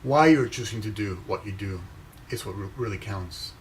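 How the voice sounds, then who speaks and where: Measured, calm Venezuelan-raised man. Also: on the low side, slight background noise. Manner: slow, measured, with pauses between phrases. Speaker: inspirational speaker reading his own post. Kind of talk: reflective motivational reading and commentary.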